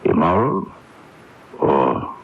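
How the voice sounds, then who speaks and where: Deep, gravelly, courtly old doctor. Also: male voice, lively intonation, very slow, heavily acted. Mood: puzzled. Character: genteel, puzzled older male doctor, kindly confidant. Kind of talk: 1980s radio mystery drama acting. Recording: dull, noisy lo-fi AM radio recording.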